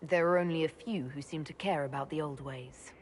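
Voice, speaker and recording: A woman's voice: low voice, fairly quick, big-room sound, slight background noise, lightly acted. An actress playing a composed female elven mage leader in a video game, dry and direct in manner. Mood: composed, wry. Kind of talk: dramatic video game acting.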